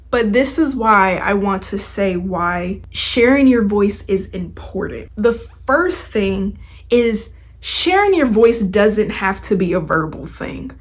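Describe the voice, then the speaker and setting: Young woman, podcast host, medium pitch, chatty, informal, slangy, casual motivational talk. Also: slightly rough. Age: young adult.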